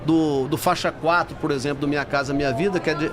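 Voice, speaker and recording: A man's voice: a little high, fairly even intonation, quick pace, crowd noise. A man with a Brazilian accent explains things as a finance guest in an interview, often checking for agreement with short tag questions. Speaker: housing finance executive, guest. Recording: noisy venue with urban ambience. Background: clear ambient noise of a busy fair.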